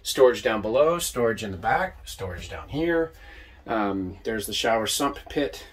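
A man in his fifties hosting a casual walkthrough tour of a sailboat, talking in a relaxed, enthusiastic way with lots of fillers, recorded on a handheld camera inside a small cabin. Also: lowish voice, a little slow, breathy edge. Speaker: boat tour host. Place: small boat cabin, indoors. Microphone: handheld camera mic at arm's length.

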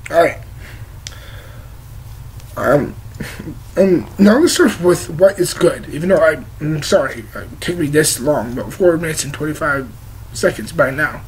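A young man with a high voice, croaky and cracking because he is sick. He rambles through a movie review, gesturing and swearing bluntly, recorded on a webcam in a living room. A faint hiss sits under his voice. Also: lively intonation, measured pace, pauses often, rough recording. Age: young adult. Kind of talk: casual movie review vlog. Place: living room with leather couch, indoors. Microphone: webcam at desk distance.

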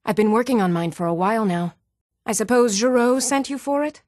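A woman's voice: on the high side, a little fast, darkish tone, no room sound, close to an everyday voice. A woman acting a spirited game bard, dry studio. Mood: casual, friendly. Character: spirited female bard, blunt, easily exasperated. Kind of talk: scripted video game dialogue.

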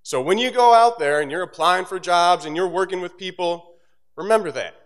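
Joking young man at a podium mic. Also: brisk. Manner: casual and joking, with asides that drop low and quiet. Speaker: instructor giving a graduation speech. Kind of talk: motivational commencement speech. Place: stage of an auditorium. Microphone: podium microphone.

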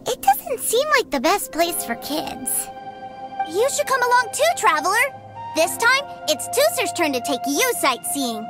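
A woman voicing a tiny, chatty, sassy female fairy companion in a video game, with a squeaky childlike voice and blunt, teasing asides. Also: sing-song, a little slow, exaggerated voice. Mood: curious. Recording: game soundtrack under the voice. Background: game music.

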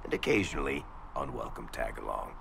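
A man playing a cocky, genial dwarf rogue in a video game, gravelly and sardonic in a breezy way. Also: very deep voice, lively intonation, fairly quick, a bit bright, a little hiss or hum, full character voice. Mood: wry, cocky. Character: wry roguish male dwarf storyteller, cocky and genial.

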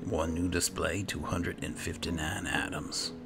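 A man doing roleplay gameplay commentary, musing to himself in a halting way. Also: low voice, fairly even intonation. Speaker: gaming host, player. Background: game music.

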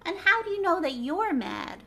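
A woman's voice: very high-pitched, sing-song, normal speed, sharp tone, voice pushed up, full character voice. A woman voicing a curious, polite little girl in a storybook, with an earnest, emphatic way of questioning. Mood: puzzled, insistent.

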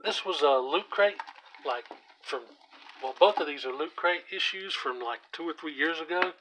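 A hoarse man casually walking through his comic book collection, reading out titles with offhand asides while he sits on the floor at home. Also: on the high side, normal speed, fairly bright tone, slight background noise, low-quality recording. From US South. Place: indoors at home, seated on the floor by a shelf. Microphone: camera aimed at the shelf, speaker off screen.

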